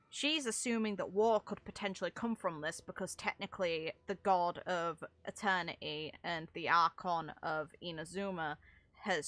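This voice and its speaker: A woman's voice: on the low side, fairly bright tone, dry recording. A woman talking casually and analytically through game lore, with opinionated, rambling asides. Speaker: content creator commentating on a game.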